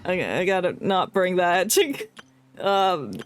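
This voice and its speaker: Slow-paced, playful woman streamer. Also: lowish voice, animated, clear, resonant tone, no echo, faint music behind. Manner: playful, joking, giggly. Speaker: game streamer. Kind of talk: casual playthrough commentary with a friend.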